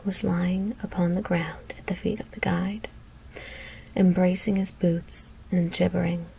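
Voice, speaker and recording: A woman whispers a scary story in a hushed, deliberate ASMR narration. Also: lowish voice, flat delivery, vocal fry, breathy edge, poor audio.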